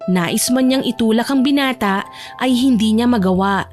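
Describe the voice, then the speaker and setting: A woman's voice: on the high side, moderate pace, darkish tone, soft background music. A woman narrating a romance story in a scripted audiobook style.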